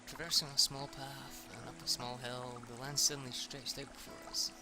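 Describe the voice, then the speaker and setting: A man's voice: mid-range voice, level tone, moderate pace. A man reading a visual novel aloud as amateur narrator, informal, stumbling over words and rereading them. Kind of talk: first-person story narration. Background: music.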